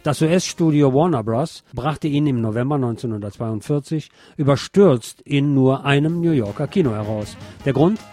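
A man's voice: mid-range voice, normal speed, few pauses, mellow, dry recording, studio quality, faint music behind. A man narrating a scripted documentary.

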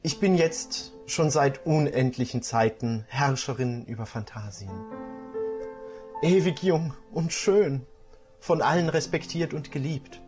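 A man reading aloud and voicing a weary young fairy empress who speaks slowly and solemnly. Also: a little high, animated, a little mellow, soft background music, pitched below the performer's own voice.

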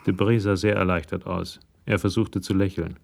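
A man's voice: deep voice, level tone, normal speed, vocal fry. A man narrating a crime radio drama from a script in a storytelling role.

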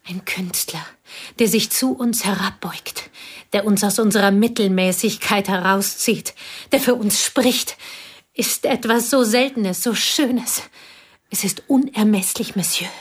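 Radio drama casting: a loyal young confidante, husky mid voice, earnest, pleading. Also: female voice, medium pitch, a little slow. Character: young woman, loyal confidante of the dead wife. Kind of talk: radio play acting.

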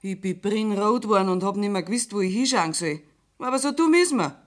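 An actress playing a scolding Bavarian farmwife. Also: female voice, medium pitch, fairly quick, close to an everyday voice. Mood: complaining. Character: fretful Bavarian farmer's wife, scolding absent husband. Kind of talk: 1960s radio play acting.

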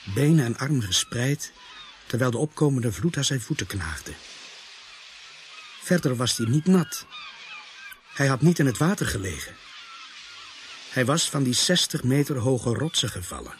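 Mid-pitched man, reserved young-in-the-job cop, measured delivery, radio play, faint noise. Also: sing-song. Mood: sober, grave. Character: earnest, reserved rookie policeman, inexperienced with death. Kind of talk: radio drama acting and first-person narration.

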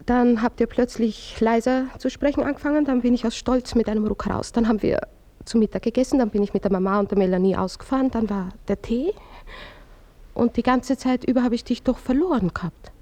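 An actress playing an anxious young wife and mother who confesses her jealousy in a hushed, halting voice in a radio play. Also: a little high, close to an everyday voice. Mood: confessing.

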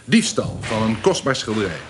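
A man's voice: fairly low pitch, sing-song, normal speed, over music. An actor playing a self-righteous, vindictive male informer in a radio drama, speaking formally and emphatically. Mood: accusing.

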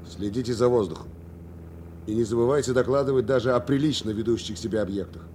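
An actor playing a stern, terse bomber commander in a radio drama, clipped and authoritative with dry irony. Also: male voice, mid-range voice, fast, warm tone, a little hiss or hum, close to an everyday voice. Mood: dry, controlled. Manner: clipped, authoritative, dryly ironic. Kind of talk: Soviet radio drama acting.

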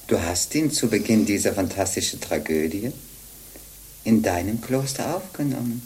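An older actress playing a sly, jovial old bawd in a radio play, teasing and wheedling in a theatrical way. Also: female voice, rumbling low, expressive, normal speed, a little mellow. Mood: matter-of-fact, sly. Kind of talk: German radio play acting.

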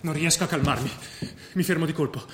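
A man's voice: a little high, fast talker, close to an everyday voice. An actor playing a devout, nervous young would-be bomber, telling his own actions in short phrases in a stage drama. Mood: tense. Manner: short declarative present-tense phrases. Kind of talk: first-person stage monologue in radio drama. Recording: roomy stage recording with faint noise.

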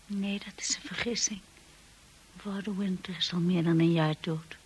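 An actress playing a shy, insecure young wife in a radio drama, with a hushed, breathy voice and a timid, hesitant way of talking. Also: female voice, on the low side, steady tone, moderate pace. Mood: nervous, apologetic. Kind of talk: radio drama narration and acting.

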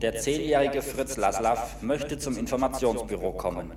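An actor playing a formal ship's announcer, making a measured passenger announcement over a loudspeaker. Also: male voice, medium pitch. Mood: official. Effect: ship loudspeaker. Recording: echoey distant loudspeaker, faint noise.

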